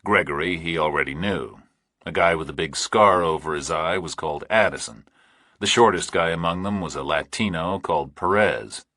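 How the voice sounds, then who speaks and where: A man's voice: a bass voice, normal speed, sharp tone, husky, no echo, clean studio sound. A man narrating a thriller audiobook in a scripted, storytelling style.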